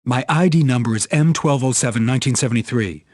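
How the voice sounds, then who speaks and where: A man's voice: medium pitch, quick pace, loud music behind the voice, lightly acted. A man playing a cool, wry, self-assured secret agent in a video game. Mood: cool, musing. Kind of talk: scripted video game dialogue.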